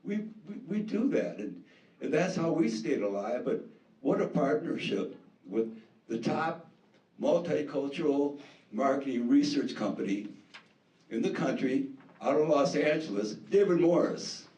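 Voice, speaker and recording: Playful conference host in an echoey hall. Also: male voice, medium pitch, animated, unhurried, dark tone. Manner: playful, teasing, informal. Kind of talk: joking introduction. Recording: echoey hall, distant mic.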